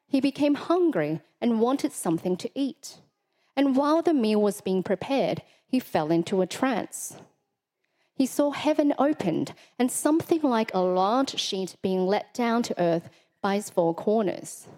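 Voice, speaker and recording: A woman reading scripture aloud in church in a measured, formal way. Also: a little high, expressive, mellow. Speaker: scripture reader.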